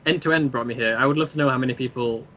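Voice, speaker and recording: A man's voice: medium pitch, very fast, a bit bright, highs cut off. A man speaking as a guest in casual, rambling conversation. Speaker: interview guest, series creator.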